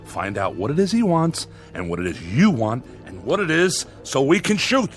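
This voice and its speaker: A man's voice: mid-range voice, big pitch swings, natural voice. A man playing an impatient TV director. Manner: brisk, impatient and coaxing. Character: harried male TV show director. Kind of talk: radio drama acting. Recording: radio drama with music behind.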